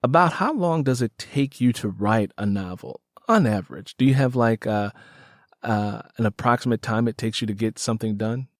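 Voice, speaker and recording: A man's voice: fairly low pitch, animated, moderate pace, no echo, studio quality. A man hosting a casual interview, enthusiastic and emphatic, often repeating his phrases. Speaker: podcast host interviewing an author.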